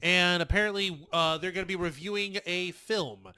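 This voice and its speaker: A high, bright male voice that hosts a casual review podcast with a lot of energy. He plays with his words and enjoys the banter with his co-hosts. The recording is dry and close to the mic. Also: moderate pace, clear, resonant tone, dry recording. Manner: loud, energetic, playful. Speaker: podcast host.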